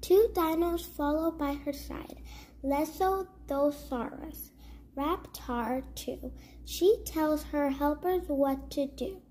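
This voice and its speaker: A young child reads a rhyming storybook aloud in a high voice. The pace is slow, with careful word-by-word phrasing, like a host at story time for little ones. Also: mellow, low-quality recording. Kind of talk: read-aloud of a children's rhyming picture book.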